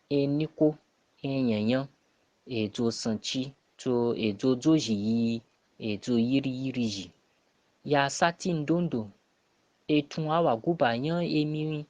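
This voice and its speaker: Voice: mid-range voice, a little mellow, no room sound, muffled recording. A person giving a spoken answer as an academic contributor to a program. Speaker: academic answering a monthly question.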